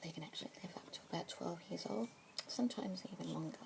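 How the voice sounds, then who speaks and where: A young woman with an Australian accent whispering softly and breathily as a pet adoption specialist in an ASMR roleplay, with gentle murmured "mm-hmm" fillers. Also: lowish voice, fast talker. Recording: close whisper mic with clear background hiss.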